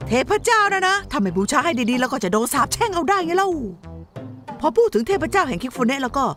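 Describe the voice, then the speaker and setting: A cheeky little cartoon spirit, high and swooping, rattling off teasing lectures with proud brashness. Music plays behind the voice. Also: female voice, big pitch swings, fast, a bit bright. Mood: lecturing. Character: small teasing spirit creature, cheeky, proud, scolding mentor. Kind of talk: Thai anime dub acting. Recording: voice over background music.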